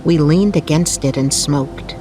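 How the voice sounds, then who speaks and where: Slow, low-voiced woman narrating a bedtime story. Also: over music, poor audio. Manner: measured, literary read-aloud delivery.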